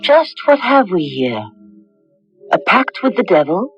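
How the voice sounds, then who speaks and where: A woman's voice: medium pitch, very animated, unhurried. A woman hosting a radio mystery drama, delivering scripted narration. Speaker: host. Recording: dull radio recording with music behind.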